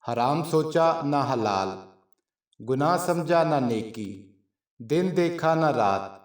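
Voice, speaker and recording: A man narrating a scripted story in a measured, formal storytelling manner. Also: a little high, lively intonation. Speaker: channel narrator. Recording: roomy echo, distant from mic.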